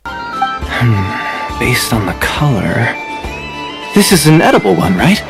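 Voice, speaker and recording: Low-voiced, unhurried game lesson-goer. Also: female voice, very animated, over music, heavily acted. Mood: musing. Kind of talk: video game character acting.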